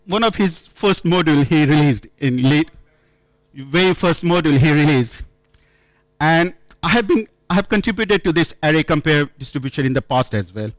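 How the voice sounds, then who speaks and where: A man from India speaks as he tells stories at a conference, often restarting and correcting himself. His voice sits a bit high. The sound is dry, with little room echo. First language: not English. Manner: halting, frequent restarts and self-corrections, storytelling. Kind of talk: conference talk.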